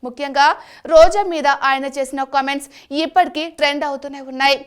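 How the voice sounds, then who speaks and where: A woman's voice: high-pitched, fairly even intonation, brisk. A woman reading a scripted voice-over as an entertainment news narrator.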